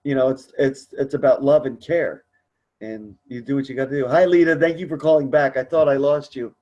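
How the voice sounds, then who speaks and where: A man's voice: mid-range voice, steady tone, a little fast, darkish tone, lo-fi sound. A man speaking casually as host of a support group conference call, earnest, encouraging and a bit rambling.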